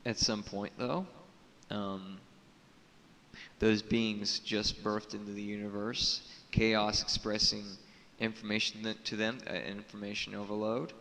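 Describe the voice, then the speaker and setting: Echoey, bright-voiced man in halting monologue. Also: on the low side, level tone. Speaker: video blogger. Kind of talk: unscripted spiritual monologue to camera. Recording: echoey reverberant room with audible hiss.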